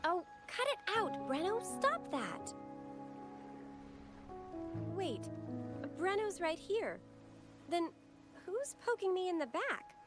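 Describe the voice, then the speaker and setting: A flustered sweet young woman in a game, super high voice, slow delivery, music behind. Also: sing-song, full character voice. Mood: playfully annoyed. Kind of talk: video game dialogue acting.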